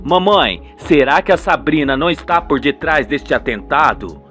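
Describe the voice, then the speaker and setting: A man's voice: fairly high pitch, lively intonation, moderate pace, fairly bright tone, faint music behind, pitched below the performer's own voice. A man voicing a concerned young man, an earnest son in a radio soap.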